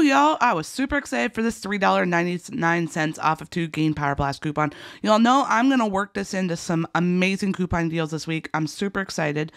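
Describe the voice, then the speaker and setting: A woman talks through shopping deals as the host of a coupon video. Her voice is rough and creaky, at a medium pitch, and recorded dry and close. She sounds chatty, folksy and excitable. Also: no echo. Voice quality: rough, gravelly. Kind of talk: enthusiastic casual deal rundown voice-over.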